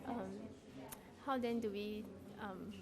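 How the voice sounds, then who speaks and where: A young woman student from Singapore answers interview questions with care, stopping often to gather her thoughts. Her voice sits in a middle pitch. A crowd chatters clearly behind her. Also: steady tone. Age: young adult. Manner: thoughtful, many "um" pauses.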